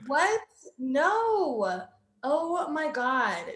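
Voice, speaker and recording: An echoey, fairly high voice a woman acting it out, flustered and indignant. She speaks with emphasis in improvised comedy. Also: sing-song, drawn-out words, a bit bright, exaggerated voice. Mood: alarmed disbelief. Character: flustered, indignant partner and co-spy. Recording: echoey room.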